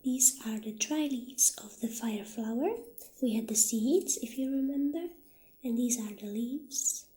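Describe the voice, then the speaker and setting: A woman speaking in a gentle, hushed ASMR roleplay style, partly whispering, as an obliging witch shopkeeper addressing a customer. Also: on the high side, a little slow. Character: soft-spoken witch shopkeeper, calm and obliging.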